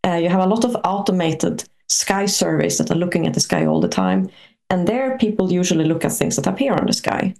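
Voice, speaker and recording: Hesitant non-native woman with gravelly voice. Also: fairly low pitch, sing-song, brisk, a little mellow. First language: not English. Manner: hesitant, many uhs, curious. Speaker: guest astrophysicist. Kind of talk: interview answers.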